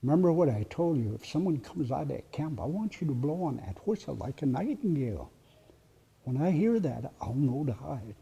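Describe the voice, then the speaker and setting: A man doing a steady old raider, firm and low, gravelly dark voice. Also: normal speed, highs cut off, full character voice. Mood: hushed. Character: calm seasoned older male horse raider.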